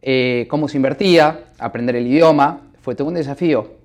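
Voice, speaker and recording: A middle-aged man with an Argentine Spanish accent speaks in a calm, reflective way, often pausing on fillers as he thinks. His voice has an ordinary pitch for a man. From Buenos Aires, Argentina. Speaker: businessman, interview guest. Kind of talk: telling his life story. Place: office.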